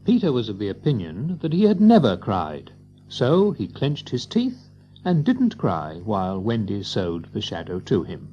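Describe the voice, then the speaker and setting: A man's voice: lowish voice, wildly swooping pitch, unhurried, dark tone, muffled recording, slight background noise. A man narrating a scripted children's storybook as part of an audio drama.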